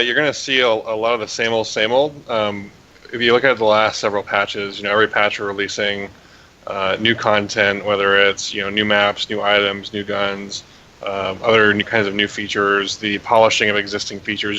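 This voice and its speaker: A tired game developer, a man whose voice is a little raspy, rambling with many fillers as a guest on a call. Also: on the low side, flat delivery, a little fast, pushed and bright. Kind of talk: casual interview over call. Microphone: remote voice call. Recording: dull hissy call audio.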